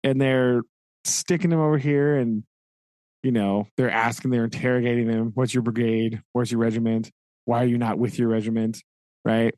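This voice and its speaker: A man talks through a story in a loose, conversational way, peppering it with "right?" and chuckles. His voice sits at an ordinary pitch, and the recording is dry and close. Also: level tone, moderate pace, darkish tone, no room sound, rough recording. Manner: retelling a story with frequent "right?" and laughs. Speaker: podcast co-host. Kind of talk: casual book discussion.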